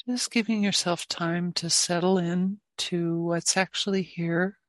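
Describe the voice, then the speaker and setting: A woman teaching meditation, gently guiding listeners with long, unhurried pauses. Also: fairly low pitch, steady tone, dry recording. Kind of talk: guided meditation.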